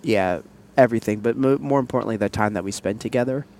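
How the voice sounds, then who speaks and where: Laid-back sniffly man chatting. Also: quick pace. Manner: laid-back, playful, jumps up in pitch when excited. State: sniffly from allergies. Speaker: podcast co-host. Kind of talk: casual couple chat.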